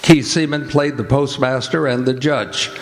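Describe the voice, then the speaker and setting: A man announcing a live radio theatre show in a formal, deliberate old-time broadcast style. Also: mid-range voice. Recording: live stage with music and audience. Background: music and audience noise.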